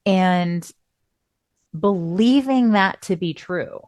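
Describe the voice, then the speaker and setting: Low-voiced woman telling stories in casual chat. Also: drawn-out words, no room sound, clean studio sound. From Southern California. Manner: expressive storyteller, acts out quotes. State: recovering voice, coughing. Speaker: podcast co-host.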